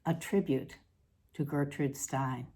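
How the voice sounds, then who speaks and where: A woman reading a scripted literary essay aloud with deliberate, theatrical emphasis. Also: rumbling low, dark tone, husky. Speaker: reader.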